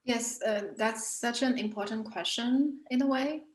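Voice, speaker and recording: A woman's voice: fairly high pitch, unhurried, echoey room. A woman whose first language is Chinese, an artist talking thoughtfully about her book in an online panel, with many fillers and restarts. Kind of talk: reflective online panel talk.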